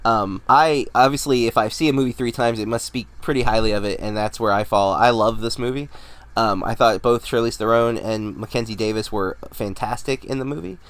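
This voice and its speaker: A man's voice: medium pitch, brisk. An American man, around his thirties, co-hosting a casual movie podcast, slightly nasal from a head cold and talking in a loose, rambling way. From United States. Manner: relaxed, rambling with fillers and restarts. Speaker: co-host.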